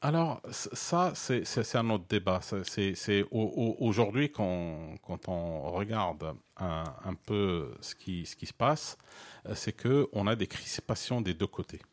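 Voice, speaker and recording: A middle-aged man from Morocco speaking in a fairly low voice. He explains things steadily in conversation, often restarting his sentences and pausing with hesitations before making his point. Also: lively intonation. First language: Arabic and French bilingual. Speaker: interviewee. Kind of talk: conversational interview.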